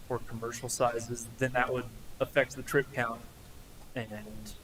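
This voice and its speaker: A man's voice: little pitch movement, a little slow, fairly bright tone. A man speaking as an engineer, explaining technical details off the cuff at a public meeting. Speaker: traffic engineer. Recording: noisy meeting room with low rumble.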